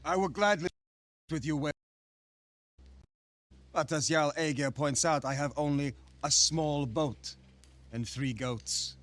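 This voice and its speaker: A man's voice: fairly high pitch, measured pace, long pauses, a bit bright. An actor playing a hot-headed young Viking out to avenge his father in a video game. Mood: wry, earnest. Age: young adult. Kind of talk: cinematic video game acting.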